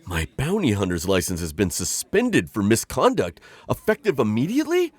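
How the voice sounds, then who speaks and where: A man's voice: lowish voice, huge pitch swings, moderate pace, darkish tone, no room sound. A man voicing a formal, awkward insectoid alien bounty hunter in an ASMR roleplay, talking in a hesitant, stammering way. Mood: earnest.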